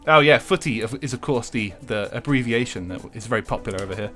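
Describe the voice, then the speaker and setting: A man's voice: mid-range voice, lively intonation, quick pace, bright, forceful tone, soft background music. A young English man chatting casually with his viewers while streaming a racing game, swearing freely and laughing often.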